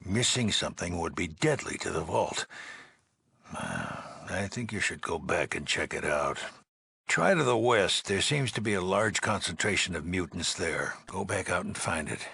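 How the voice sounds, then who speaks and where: An actor playing a worried, authoritative male leader of an underground vault in a video game, with a gravelly, husky voice and a grave, deliberate manner. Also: deep voice, lively intonation, pushed and bright, no echo, heavily acted. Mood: firm, concerned. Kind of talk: dramatic game dialogue.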